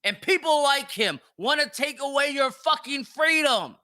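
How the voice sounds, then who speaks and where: A man's voice: very high voice, lively intonation, measured pace, sharp tone, dry recording, clean studio sound. A man hosting a podcast of opinionated solo commentary, speaking emphatically with plenty of swearing and repeating himself for emphasis. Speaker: podcast host.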